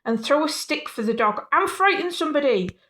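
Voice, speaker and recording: A woman's voice: high-pitched, animated, a little fast, sharp tone, voice pushed up. A woman voicing a playful, mischievous little male skeleton from a children's storybook, eagerly making suggestions.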